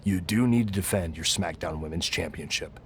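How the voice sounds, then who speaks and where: A man playing a pragmatic wrestling official in a game cutscene, speaking in a measured, matter-of-fact way. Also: deep voice, fairly bright tone, a little hiss or hum. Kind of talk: scripted game cutscene dialogue.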